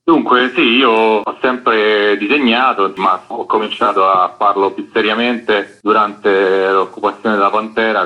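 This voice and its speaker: A middle-aged man, explaining things reflectively as a guest in an informal radio interview. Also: on the low side. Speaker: interview guest, illustrator and comics author. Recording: dull lo-fi radio sound.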